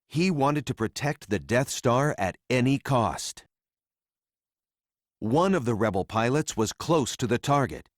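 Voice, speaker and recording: A man narrating a children's read-along story in a simple, deliberate storytelling manner for young readers. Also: mid-range voice, no room sound, studio quality.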